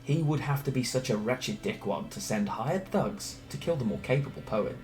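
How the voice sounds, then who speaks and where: A man reading a scripted story aloud in a theatrical, expressive way. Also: mid-range voice, normal speed. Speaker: storyteller reading fan narration. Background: background music.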